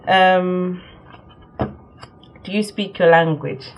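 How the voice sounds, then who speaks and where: A woman's voice: lowish voice, steady tone. Woman, young adult, born in Nigeria, casual vlog talk, lots of ums, faint background hiss. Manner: chatty, with many ums and quick laughs. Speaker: vlogger. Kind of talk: casual talk to camera, answering a tag.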